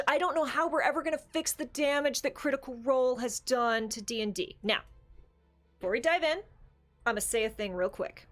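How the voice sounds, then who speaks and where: A woman co-hosting a casual tabletop gaming discussion, talking in an animated, opinionated, conversational way. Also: high voice. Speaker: podcast co-host.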